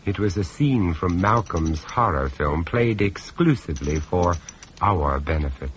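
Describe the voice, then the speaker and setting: An actor playing the male narrator of a radio horror tale, measured, urbane and ominous. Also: low voice, lively intonation, slight background noise.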